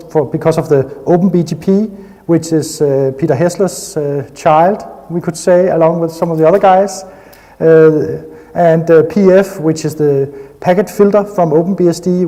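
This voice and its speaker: A man's voice: a little high, warm tone. Danish-accented man in a reverberant hall. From Denmark. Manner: enthusiastic, frequent hesitations. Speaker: conference speaker. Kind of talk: technical conference talk. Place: conference hall.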